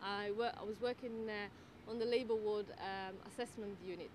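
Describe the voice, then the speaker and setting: A woman from the Netherlands who works as a midwife and tutor, answering interview questions in a thoughtful way with many fillers and pauses. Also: mid-range voice, steady tone, darkish tone. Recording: noisy background ambience.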